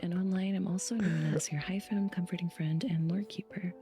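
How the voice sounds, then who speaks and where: A woman giving a soft scripted introduction as a guest presenter, into an ASMR microphone. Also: fairly low pitch, steady tone, a little fast. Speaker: guest presenter, community creator.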